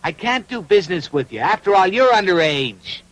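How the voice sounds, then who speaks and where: A man's voice: high-pitched, big pitch swings, moderate pace, fairly bright tone, a little hiss or hum, low-quality recording. A man voicing a mocking, dismissive young streetwise fellow in a dubbed cartoon, sarcastic and scornful.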